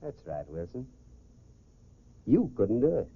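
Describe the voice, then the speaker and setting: An actor playing a confident, calculating gentleman swindler in a 1940s American radio crime drama. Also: medium pitch, sing-song, takes it slowly, creaky, breathy edge, full character voice. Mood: cool, scheming. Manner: self-assured, calculating, clipped orders. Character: smooth, confident gentleman swindler posing as society golfer. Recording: muffled, phone-like 1940s radio recording.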